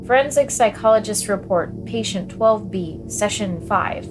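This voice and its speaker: A woman acting as a forensic psychologist in a horror audio drama. She questions her patient formally and with clinical care, in measured phrases, while music plays prominently behind her. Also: medium pitch, expressive, natural voice.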